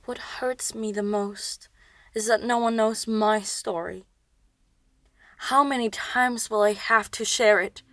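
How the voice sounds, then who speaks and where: An actress playing an anguished, defiant mythical Cretan woman in a poetic audio drama, with intense, deliberate delivery. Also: on the high side, fairly even intonation, a bit bright, dry recording. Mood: hurt, bitter.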